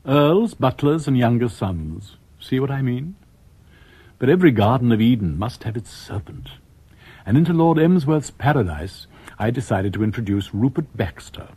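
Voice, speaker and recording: A man's voice: medium pitch, expressive, normal speed, dark tone, husky, dry recording, rough recording. An actor playing an urbane author narrating his own comic tale on radio, wry and confiding, with an English Received Pronunciation accent. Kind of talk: scripted narration in radio comedy.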